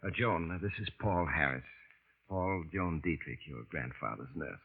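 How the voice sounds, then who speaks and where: An actor playing a formal middle-aged family attorney who hesitates with fillers, in a 1940s radio drama. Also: male voice, deep voice, lively intonation, moderate pace, creaky, breathy edge, full character voice. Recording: tinny vintage radio broadcast.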